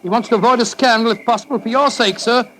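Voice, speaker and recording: A man's voice: extremely high pitch, fast talker, a bit bright, slight background noise, low-quality recording. A man playing a kindly, flustered middle-aged English doctor in a 1940s radio drama, bumbling and repeating himself in an avuncular way. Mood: indignant.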